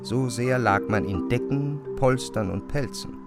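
A man with speech narrating a literary classic in a measured, expressive way. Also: mid-range voice, loud music behind the voice. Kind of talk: literary audiobook narration, dramatized radio reading.

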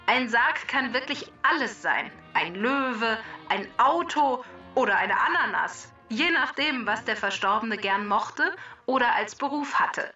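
A woman playing a female machine helper in a children's podcast, reading scripted educational narration in a measured, clearly phrased way. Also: on the high side, expressive, bright, forceful tone, far from the mic, dull audio, soft background music. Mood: informative, upbeat.

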